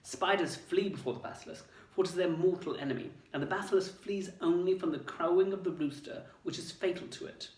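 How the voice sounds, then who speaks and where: Bookish schoolgirl, put on by a man, raised pitch, measured recital, roomy room and distant mic. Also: close to an everyday voice. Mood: earnest recital. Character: clever teenage schoolgirl reading an old book.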